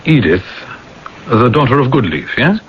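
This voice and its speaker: An actor playing an analytical, fatherly psychiatrist who questions insistently and deliberately, in 1980s radio drama style. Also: medium pitch, sing-song, husky. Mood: probing. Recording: dull, hissy old radio broadcast recording.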